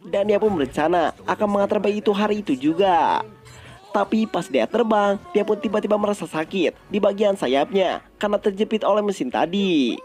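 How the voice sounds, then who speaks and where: A man's voice: high voice, expressive, brisk, a little mellow, soft background music. A man narrating a story recap in a casual, colloquial, conversational way.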